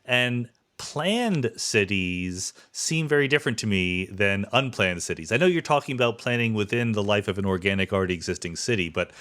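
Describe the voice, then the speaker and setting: A man's voice: lowish voice, expressive, moderate pace, hardly any pauses, a bit bright. A man hosting a podcast in a conversational science interview, informal and thinking aloud as he talks. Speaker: podcast host.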